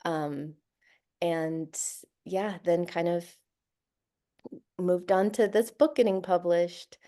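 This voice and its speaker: Woman author guest, lower pitch, relaxed thoughtful talk with fillers. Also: takes it slowly. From Central Florida, USA. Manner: thoughtful, many fillers, light laughs. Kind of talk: casual interview talk.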